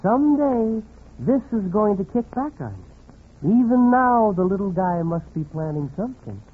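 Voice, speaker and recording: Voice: mid-range voice, lively intonation, moderate pace, slight background noise, natural voice. An actor playing a wry, scolding commentator in 1940s radio comedy, sardonic and admonishing. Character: wry scolding commentator chiding a domineering wife. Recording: muffled 1940s radio recording.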